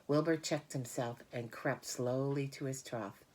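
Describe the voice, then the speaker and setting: Very low-voiced woman reading a story. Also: fairly even intonation, measured pace, a little mellow. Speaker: audiobook reader. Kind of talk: scripted children's story narration.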